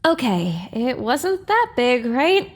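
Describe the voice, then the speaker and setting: A sassy young pony heroine voiced by a woman in an audio drama, very high and hugely expressive. She takes her time over each exaggerated, grumpy or thrilled reaction. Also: takes it slowly, heavily acted. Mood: wry.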